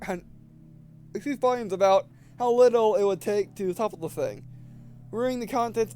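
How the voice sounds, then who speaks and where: A young man with a distinctly high voice comes through over clear noise and hum. He talks like a casual game streamer, halting and doubling back to repeat his phrases. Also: mellow. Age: 20s. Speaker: gaming video host. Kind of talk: casual let's play commentary, reading game text aloud.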